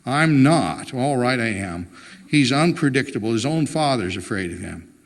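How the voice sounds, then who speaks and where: A man's voice: mid-range voice, animated, normal speed, big-room sound, natural voice. A man voicing a reluctant, plainspoken husband in a storybook reading, stubborn, wry and resigned. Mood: grudging.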